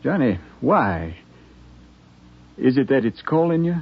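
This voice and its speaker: An actor playing a friendly, plain-spoken frontier townsman in a 1950s radio drama, folksy and gently persuasive. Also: mid-range voice, big pitch swings, slow pace, breathy edge, heavily acted. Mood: pleading. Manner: folksy, hesitant, coaxing. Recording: thin, phone-like 1950s radio broadcast.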